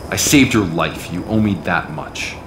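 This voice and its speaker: An actor playing a weary veteran spy in an audio drama, stern, blunt and probing. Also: male voice, low-pitched, lively intonation, measured pace. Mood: stern, exasperated. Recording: roomy echo with clear background noise.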